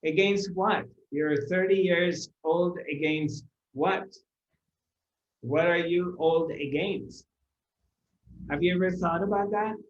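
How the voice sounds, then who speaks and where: A man delivering a spiritual talk to a seated audience, speaking very slowly with a slightly raised pitch. He lingers on his phrases, repeats them and keeps posing rhetorical questions. The recording is roomy and a little dull, with the echo of the room around him. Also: a little hiss or hum. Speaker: spiritual teacher. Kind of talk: spiritual discourse to a live audience.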